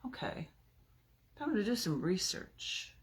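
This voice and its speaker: A woman's voice: mid-range voice, sing-song, slow pace, slightly rough. A woman reading her own poetry aloud in an informal, theatrical and emphatic way, with playful, chatty asides.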